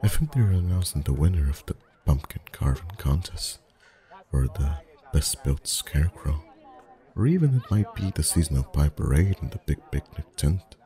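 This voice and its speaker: A man playing a friendly, chatty, gently flirtatious festival face painter in a soft-spoken ASMR roleplay, with a gentle, rambling manner. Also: extremely low pitch, expressive, moderate pace. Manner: gentle, rambling, softly chuckling. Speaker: ASMR voice actor.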